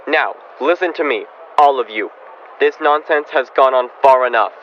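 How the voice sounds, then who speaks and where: A young man playing a gruff, exasperated middle-aged father in a radio comedy, bossy and easily flustered. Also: mid-range voice, moderate pace, lightly acted. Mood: stern. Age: young adult. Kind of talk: old-time radio comedy acting. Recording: dull muffled audio, background noise.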